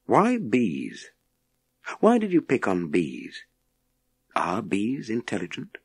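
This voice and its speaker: World-weary male ex-astronaut acted by a male reader: wry authority, intense, unhurried, low-set voice, audiobook drama. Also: huge pitch swings, breathy edge, dry recording, heavily acted. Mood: probing, urgent.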